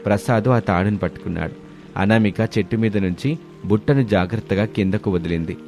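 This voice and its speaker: A man with a fairly low voice narrates a scripted story. He is the storyteller of a moral tale. Light music plays underneath. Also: brisk, darkish tone.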